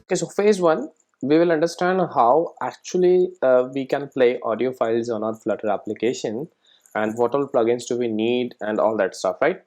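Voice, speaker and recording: A man with a mid-pitched voice presents an informal programming tutorial. He explains step by step in a conversational way, often ending his sentences with a quick check-in question to the learner. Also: darkish tone, soft background music. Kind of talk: informal screencast coding tutorial.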